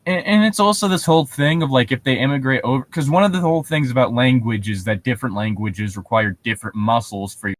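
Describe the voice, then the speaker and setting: A man's voice: medium pitch, fast, few pauses. A man co-hosting a casual commentary chat, explaining things in a rambling way. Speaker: co-host.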